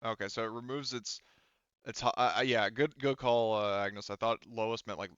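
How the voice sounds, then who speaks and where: A man's voice: lowish voice, fairly even intonation, moderate pace, a bit bright, smooth, dry recording, muffled recording. A man working as game master, narrating a tabletop roleplaying game casually and profanely, thinking aloud as he works through the rules.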